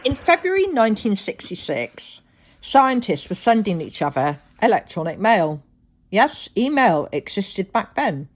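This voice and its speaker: A woman hosting a solo podcast, telling things reflectively with deliberate, dramatic phrasing. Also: medium pitch, sing-song, slight background noise. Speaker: podcast host.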